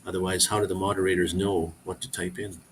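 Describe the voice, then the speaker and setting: A man's voice: sits low, level tone, quick pace, noisy background. A middle-aged man hosting a casual live stream, rambling as he reads viewer comments aloud and answers them.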